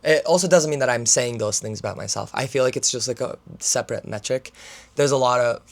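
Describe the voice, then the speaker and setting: Young male student vlogger, mid-pitch, gravelly fry, raspy today; loose filler-laden chat to camera. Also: brisk. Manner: filler-heavy, talks with his hands. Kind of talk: casual rambling vlog chat.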